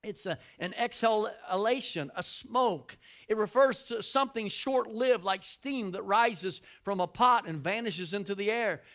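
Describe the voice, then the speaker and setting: An older man, a pastor, preaching a sermon with emphatic rises and shouted lines, repeating words as he builds his points. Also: sits high, a little slow.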